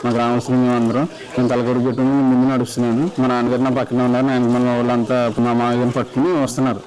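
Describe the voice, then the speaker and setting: A man's voice: medium pitch, flat delivery, racing, a little mellow, poor audio. A man, a villager, giving an eyewitness account in a conversational interview.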